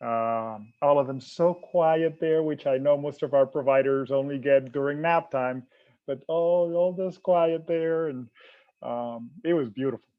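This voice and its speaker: A man's voice: on the high side, a little slow, clear, resonant tone, phone-quality sound. A man from Puerto Rico whose first language is Spanish, a finance director chatting in a friendly, easygoing way with small hesitations. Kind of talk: casual panel chat.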